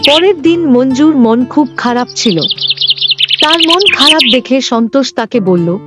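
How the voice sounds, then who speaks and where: A woman's voice: high-pitched, expressive, measured pace, over loud music. A woman reading scripted narration for a cartoon folk story.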